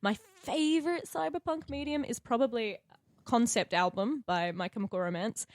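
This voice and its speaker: A woman's voice: on the high side, moderate pace, dry recording. An Australian woman in casual podcast conversation, explaining her opinions as one of the players. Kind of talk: casual chat.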